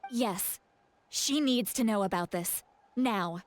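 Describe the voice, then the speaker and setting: A woman's voice: medium pitch, takes it slowly, lightly acted. A woman playing a proud, blunt, feisty shaman in a video game, youthful-sounding and haughty. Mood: serious, decisive. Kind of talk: animated game voice acting.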